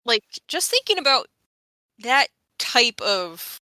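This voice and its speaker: A woman's voice: fairly high pitch, sing-song, slow, sharp tone, no room sound, clean studio sound. A woman chatting casually as a player in a tabletop role-playing game. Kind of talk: casual chat.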